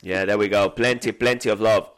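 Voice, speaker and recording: A man with a lowish, hoarse voice and a Venezuelan Spanish accent co-hosts with playful teasing. He stumbles and restarts as he talks. He builds his introductions with dramatic pauses. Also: fairly even intonation. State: hoarse with a cold. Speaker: co-host. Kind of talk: casual banter and hyped introduction.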